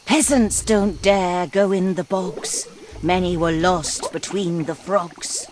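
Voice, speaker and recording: Slow theatrical fairy tale narrator lady for a game, rhyming, lowish voice. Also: steady tone, background noise. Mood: storytelling, ominous. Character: storybook woman narrator telling dark folktales. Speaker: storyteller narrator of a video game.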